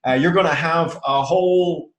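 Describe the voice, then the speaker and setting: An Irish man hosting an international sales call, giving an upbeat, emphatic pitch with frequent "uh" fillers. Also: normal speed, reverberant. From Ireland. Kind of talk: scripted sales presentation. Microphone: conference call audio.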